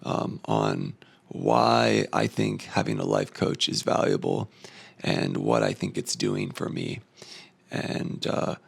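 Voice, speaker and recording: A man's voice: low-pitched, big pitch swings, slow pace. A man losing his voice and tired, talking in a thoughtful, rambling personal monologue with many fillers. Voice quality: hoarse, gravelly. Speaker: vlogger.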